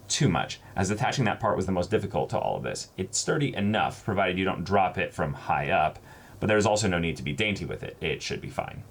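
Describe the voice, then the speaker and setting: Low-pitched man, lively and expressive. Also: husky. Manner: halting, repetitive when ad-libbing, expressive when reading aloud. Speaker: co-host of a reaction channel. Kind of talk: casual unboxing chat and reading letters aloud. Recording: faint background noise.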